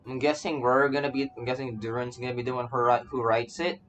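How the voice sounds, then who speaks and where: A man's voice: mid-range voice, little pitch movement, fast talker, fairly bright tone, slight background noise. A man streaming a game with casual live commentary, rambling and stammering as he repeats his phrases. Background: game music.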